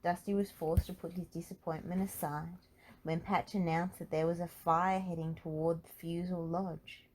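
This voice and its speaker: Lady with a lowish voice reading a kids picture book out loud. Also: little pitch movement, normal speed, low-quality recording. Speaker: storybook reader. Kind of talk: children's book read-aloud narration.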